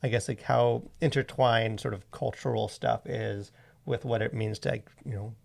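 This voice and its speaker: A man hosting a casual podcast discussion, talking in a halting, rambling way full of fillers. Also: medium pitch, fairly even intonation, gravelly. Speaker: podcast host.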